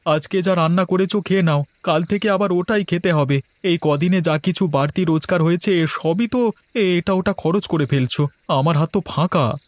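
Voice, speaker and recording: Man acting higher-pitched, fretful young husband. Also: normal speed, no echo, close to an everyday voice. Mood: glum. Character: frugal, worried young newlywed shopkeeper husband. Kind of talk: Bengali cartoon voice acting.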